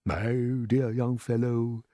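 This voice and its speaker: A man's voice: a little high, sing-song, measured pace, a little mellow, no room sound, studio quality, pitched above the performer's own voice. A man voicing a genial, proud old green grasshopper musician from a storybook, avuncular and emphatic, drawing out his words. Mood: gentle, teasing. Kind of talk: storybook character voice.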